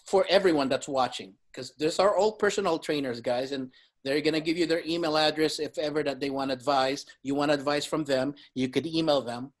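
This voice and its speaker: A man in his fifties hosting a casual fitness panel, emphatic and quick to laugh. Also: fairly high pitch. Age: 50s. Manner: animated, emphatic, laughs often. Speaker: host.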